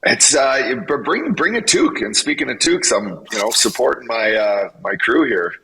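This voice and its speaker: A man co-hosting a podcast, a former hockey player, talking with energetic, playful hype. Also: mid-range voice, moderate pace, gravelly, breathy edge, poor audio. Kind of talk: casual podcast chat.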